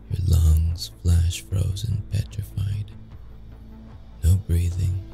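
Man playing a slow, deep-voiced wounded young vampire. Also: creaky, heavily acted. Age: young adult. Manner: halting, hesitant, stammering when flustered. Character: young wounded male vampire, secretive, wary and brooding. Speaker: audio roleplay performer. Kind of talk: intimate ASMR audio roleplay acting.

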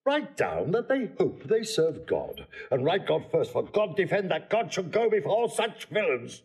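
Pompous, creaky, high-pitched bumbling constable. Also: male voice, huge pitch swings, a little slow, darkish tone, heavily acted. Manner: pompous, self-important, blustering. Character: Dogberry, pompous, bumbling comic old constable. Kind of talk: broad comic Shakespearean acting.

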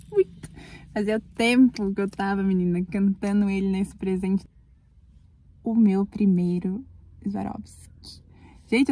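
Woman, Brazilian accent, young adult, casual vlog chat, husky voice, mid pitch, dry close phone mic, excited rambling. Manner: chatty, rambling, bursts of quick excited talk. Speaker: vlogger. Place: in a car on the road.

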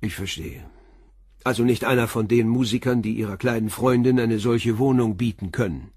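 An actor playing a calm police inspector who puts terse, probing questions in a radio crime drama. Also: male voice, medium pitch, normal speed, husky, dry recording, studio quality, natural voice. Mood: calm, inquiring.

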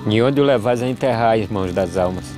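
Animated verse drama: male actor as weary wanderer, lowish pitch, solemn rhythmic lines, music underneath. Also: lightly acted. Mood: solemn, questioning. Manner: measured, solemn, rhythmic delivery with falling refrains. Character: solemn male drought migrant, questioning, weary, plain-spoken.

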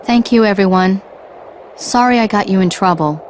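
A woman's voice: medium pitch, moderate pace, a little hiss or hum, lightly acted. An actress playing a brave young woman warrior in a video game, earnest and determined. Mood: subdued, grateful. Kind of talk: animated video game voice acting.

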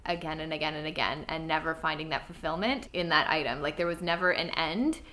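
Bright-voiced young woman vlogging, slightly stuffy. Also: low-pitched, fairly quick. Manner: earnest, chatty, lots of fillers. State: slightly congested from a cold. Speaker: style vlogger. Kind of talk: casual talk to camera. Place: home room with clothing rack.

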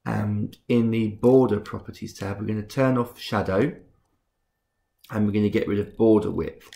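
Husky, congested young man explaining steps. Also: on the low side, normal speed. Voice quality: husky, rough. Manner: relaxed step-by-step explaining with frequent ums. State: congested from a cold. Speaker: game tutorial host. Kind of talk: screen-recorded software tutorial.

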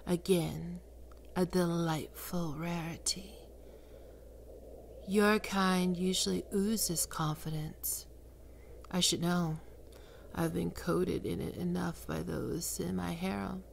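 Sultry demon queen of lust, a woman acting her out, low gravelly voice, slow and commanding, whispered ASMR roleplay. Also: fairly even intonation, slight background noise. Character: seductive, domineering female demon queen of lust.

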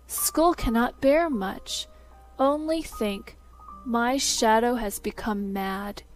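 A woman's voice: fairly high pitch, takes it slowly, faint music behind. A woman voicing a lordly, scheming shadow-man. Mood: feigned sorrow. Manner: grand, commanding, archaic speech.

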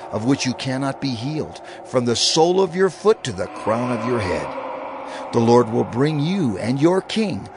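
A man's voice: medium pitch, noisy background. A man playing a stern prophet in a dramatized audio Bible, declaiming solemnly. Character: stern male prophet pronouncing divine blessings and curses. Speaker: scripture narrator. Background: music.

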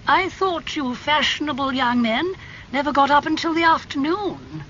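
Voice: high-pitched, very animated, moderate pace, sharp tone, dull audio, slight background noise, voice pushed up, heavily acted. A reader putting on a fluting, gossipy elderly aunt. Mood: chatty. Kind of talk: audiobook character reading.

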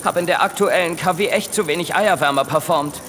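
A man playing an earnest, overworked factory creature, deadpan and dutiful, in a comedy audio drama. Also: a little high, a little fast, big-room sound, slight background noise, lightly acted. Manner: deadpan, dutiful, startup jargon. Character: earnest, overworked knitting creature spouting corporate jargon. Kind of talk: German comedy science fiction audio drama.

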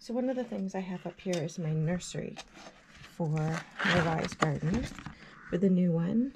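A woman's voice: fairly low pitch, normal speed. A woman in her thirties hosting a gardening channel, giving a casual, upbeat tour of her indoor plants. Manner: chatty and upbeat, walking through her plants. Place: house interior, rooms and furnace room. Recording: noisy home room with furnace hum.

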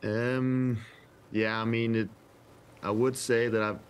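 A young man in his twenties with a Danish accent, answering interview questions over a video call from a press room, hesitant and soft-spoken with many pauses. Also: mid-range voice, little pitch movement, slight background noise. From Denmark. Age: 20s. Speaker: footballer guest. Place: indoor press room with sponsor backdrop. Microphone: webcam video call.

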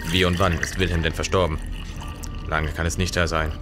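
A man's voice: sits low, little pitch movement, fairly quick, over music, lightly acted. A man playing a big, nervous, shaken traveller in a period crime radio play, speaking in agitated, formal questions. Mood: uneasy, probing. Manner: agitated, questioning, formal old-fashioned speech. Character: big, nervous, shaken male traveller looking for his friend. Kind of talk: German period crime audio drama.

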